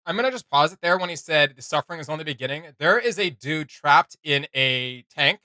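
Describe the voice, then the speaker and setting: A man's voice: a little high, lively intonation, a little fast, bright, forceful tone, dry recording. A young man co-hosting a reaction video, chatting casually and enthusiastically, with frequent fillers and laughs.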